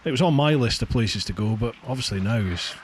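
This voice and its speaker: A man's voice: mid-range voice, expressive, brisk. A man hosting a podcast in casual, emphatic conversation. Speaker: podcast host. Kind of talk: casual chat. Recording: faint background noise, online call.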